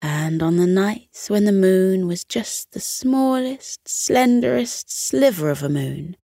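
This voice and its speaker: A woman narrating a scripted bedtime story in a soothing, unhurried storytelling manner. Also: medium pitch, vocal fry, mellow, dry recording, clean studio sound.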